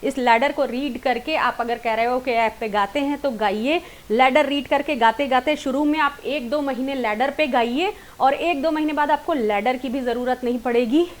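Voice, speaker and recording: A woman's voice: sits high, moderate pace, few pauses. A woman with an Indian accent giving an informal singing lesson online, explaining directly to viewers and mixing in English terms. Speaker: singing teacher.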